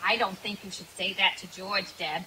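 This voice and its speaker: A woman's voice: medium pitch, steady tone, moderate pace, bright, forceful tone, natural voice. A woman playing a scatterbrained, cheerful comedienne in old-time radio comedy style. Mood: daffy, innocent. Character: scatterbrained, cheerful comedienne wife with daffy logic. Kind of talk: 1930s radio comedy acting. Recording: hissy, noisy live stage recording.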